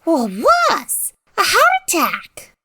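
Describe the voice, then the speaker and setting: Naive young secretary, very high squeaky voice, hugely animated, guileless, radio comedy style. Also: female voice, takes it slowly, heavily acted. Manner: chirpy, guileless. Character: perky, naive young female office secretary, slightly ditzy. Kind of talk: old-time radio comedy acting.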